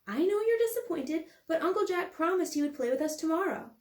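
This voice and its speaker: A woman's voice: very high voice, animated, fairly quick, a little mellow, echoey room, voice pushed up. A woman voicing an earnest, imaginative young girl who bossily plays at being grown-up in a storybook reading. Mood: bossy, motherly. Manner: earnest, insistent, playing grown-up. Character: young girl Ella, bossy, earnest, imaginative little sister.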